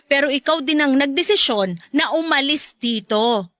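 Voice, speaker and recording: A woman's voice: sits high, lively intonation, normal speed, fairly bright tone, no room sound, clean studio sound. An actress playing an irritated mother confronting her ex-partner in a Tagalog radio drama, curt and assertive, with a Filipino accent. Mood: angry, firm.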